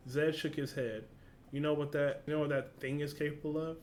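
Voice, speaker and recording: A man's voice: on the high side, little pitch movement, a little fast, a little mellow. Casual male reactor, noticeable background noise. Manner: slangy, informal, swearing. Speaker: reaction video host. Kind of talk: reading a story aloud with casual reactions.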